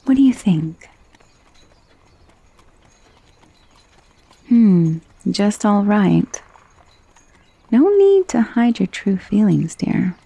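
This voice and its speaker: Casting: actress for gentle vampire lady in ASMR roleplay; creaky, soft-spoken, soothing, genteel, very close and dry sound. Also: medium pitch, dry recording, heavily acted. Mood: doting, teasing. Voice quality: soft, breathy, often dropping to a whisper. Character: gentle, coaxing female vampire mistress of a mansion.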